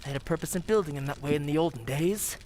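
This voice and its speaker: A woman's voice: low-pitched, fast talker, darkish tone, a little hiss or hum, natural voice. A woman playing a male villain with feigned mild manners and a sadistic streak, in old-time radio melodrama style. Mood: gloating menace. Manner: theatrical, menacing, gloating.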